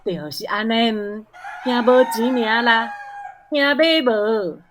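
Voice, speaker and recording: A woman's voice: a little high, expressive, takes it slowly, background noise. An actress playing a nosy, agreeable village neighbour woman with a Taiwanese accent in a radio drama, chatty and full of interjections. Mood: agreeing, chatty.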